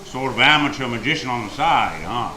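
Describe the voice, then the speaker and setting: A man playing a cool, wry, deadpan private detective in an old-time radio drama, speaking tersely. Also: medium pitch, brisk, slight background noise. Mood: wry, probing. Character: hard-boiled male private detective narrating his case, cool and wry. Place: theater stage, live radio drama performance. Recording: roomy live stage, loud music behind.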